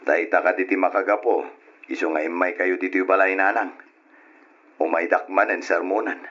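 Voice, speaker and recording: An actor playing a drunken, carefree husband in an old radio drama, with slurred, drawling, husky talk. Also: male voice, low voice, fairly even intonation, moderate pace, a bit bright, muffled recording. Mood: dismissive. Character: drunken, carefree husband and father who brushes off warnings.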